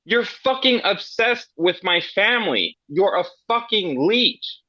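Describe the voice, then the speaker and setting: A man's voice: sits high, expressive, slow pace, sharp tone, no room sound, lo-fi sound, exaggerated voice. A man reading a brash, swearing manager. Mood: combative. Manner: blunt, swearing, combative. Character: brash, hot-tempered male restaurant manager.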